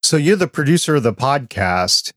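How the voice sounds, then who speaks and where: A man with a quiet voice hosting a podcast, asking curious, friendly questions and chatting about microphones into a dynamic mic. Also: mid-range voice, very animated, normal speed, dry recording, clean studio sound. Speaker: podcast host. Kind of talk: interview questions and chat.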